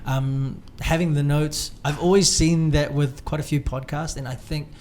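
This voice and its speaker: A man's voice: on the high side, steady tone, normal speed, darkish tone. A young man hosting a podcast in casual chat, talking in a rambling, hesitant way with lots of fillers. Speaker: podcast host.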